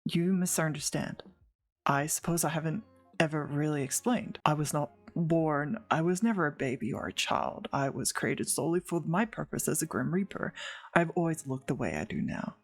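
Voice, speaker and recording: A woman voicing an aloof, melancholy male Grim Reaper who speaks in a measured, reserved and formal way. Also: sits low, lively intonation, faint music behind, pitched below the performer's own voice. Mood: solemn, reserved.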